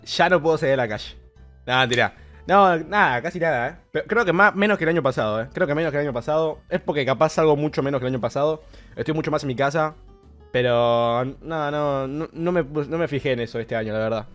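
A young man with an Argentine accent chats and jokes on a livestream, his voice sitting a little high. He talks into a close boom mic in a small bedroom setup. Soft music plays faintly behind him. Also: quick pace. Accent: Argentine Rioplatense Spanish. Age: 20s. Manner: chatty, jokey, reacting to his old clips. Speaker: streamer. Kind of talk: casual livestream chat. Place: small bedroom streaming setup with blue lights. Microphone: boom mic close to his face. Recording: clean streaming mic with faint background music. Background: soft background music.

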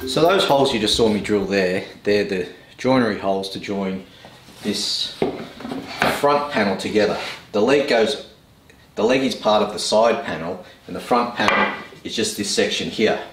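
Aussie bloke in his 30s explaining his build, slightly low voice, echoey workshop, faint hum behind. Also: recorded from a distance. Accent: Australian. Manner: laid-back, plain-spoken, slows down to explain details. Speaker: woodworking vlogger. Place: home woodworking workshop, indoors. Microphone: camera mic, speaker a few steps away.

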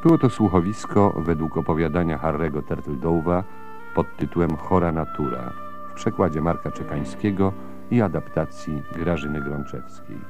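A man's voice: extremely low pitch, normal speed. A man reading a scripted announcement as a radio announcer. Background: music.

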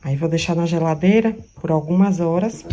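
A woman's voice: lowish voice, fairly quick, warm tone, slight background noise. A woman from Brazil, chatting casually through a cooking vlog in her home kitchen, fond of diminutives. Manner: chatty, affectionate, lots of diminutives. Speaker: vlogger.

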